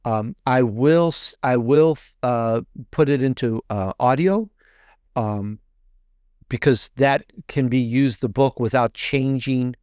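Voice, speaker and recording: A man talking casually as a guest author in an interview, halting and restating phrases for emphasis. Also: mid-range voice, a little mellow, smooth, no room sound, studio quality. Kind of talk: casual promotional interview talk.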